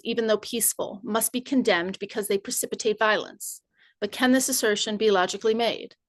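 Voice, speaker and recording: A woman from the community reading a written text aloud in a measured, deliberate, formal recitation. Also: medium pitch, dry recording.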